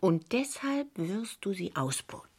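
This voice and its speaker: An actress playing a capricious, vain and cunning French wife in a radio crime play, teasing and persuasive. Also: female voice, mid-range voice, very animated, a little slow, husky. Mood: indignant, teasing.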